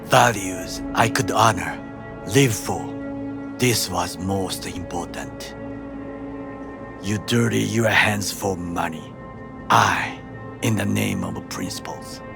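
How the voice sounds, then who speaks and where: A man playing a proud, principled ex-soldier bodyguard in a video game, speaking formally and deliberately with a Japanese accent. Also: sits low, vocal fry, full character voice. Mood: stern, proud. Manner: formal, measured, deliberate phrasing without slang. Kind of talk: video game cutscene acting. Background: music under the voice.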